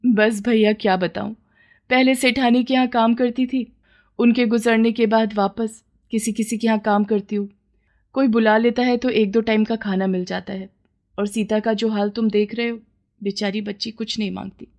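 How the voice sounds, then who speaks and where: Woman as a plaintive, high-voiced poor village mother. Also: fairly even intonation, moderate pace, a little mellow, natural voice. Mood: humble, weary. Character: poor, humble, careworn village mother, modest and grateful.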